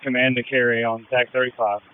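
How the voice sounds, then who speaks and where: A male fire officer with a middle-pitched, clean voice directs crews over two-way radio. His manner is businesslike and directive. The transmission sounds tightly band-limited and muffled. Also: level tone, a little fast, clear, resonant tone. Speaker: fire officer in incident command. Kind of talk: fireground radio traffic, giving orders.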